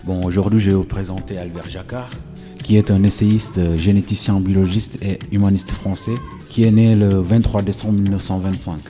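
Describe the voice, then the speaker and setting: Quiet, hesitant low-voiced young man. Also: flat delivery, moderate pace, soft background music. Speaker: student columnist. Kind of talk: reading a short talk.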